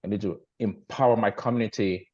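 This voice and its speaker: Guest speaker: very deep-voiced man in his 40s, from Cameroon, halting and filler-heavy but emphatic, muffled lo-fi call audio. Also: fairly even intonation, dark tone. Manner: halting, many fillers and restarts, grows emphatic. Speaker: guest agency leader. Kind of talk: casual storytelling on a team call. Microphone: video call.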